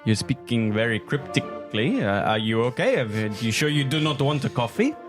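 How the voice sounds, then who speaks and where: A man playing a guarded but kindly foreign inventor in a tabletop game, speaking formally and haltingly. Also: mid-range voice, very animated, music in the background, natural voice. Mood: reassuring. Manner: hesitant, courteous, formal phrasing.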